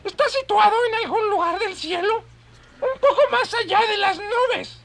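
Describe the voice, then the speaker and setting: Sly storytelling raven, radio drama; piercingly high, creaky caw, faint noise behind. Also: female voice, normal speed. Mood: sly rapture. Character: tame raven, sly gossiping preacher of paradise.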